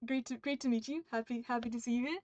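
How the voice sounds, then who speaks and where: A woman's voice: on the high side, steady tone, fast, a little mellow, no echo. Woman from the UK with a muffled mic. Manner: breaks off mid-sentence to make edits and talk to chat; upbeat and friendly with viewers. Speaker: writer streaming her editing session. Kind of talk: livestream, reading her draft aloud and chatting with viewers. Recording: dull, muffled stream mic.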